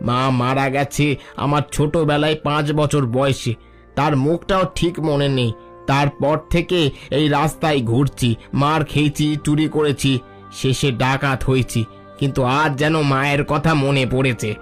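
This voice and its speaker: A soft-hearted cartoon bandit speaks over background music, voiced by a man. His pitch sits a little high for a male voice. He talks humbly and earnestly, in plain, familiar words. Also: steady tone, normal speed, close to an everyday voice. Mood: moved, sorrowful. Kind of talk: Bengali cartoon story voice acting. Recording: music playing under the voice.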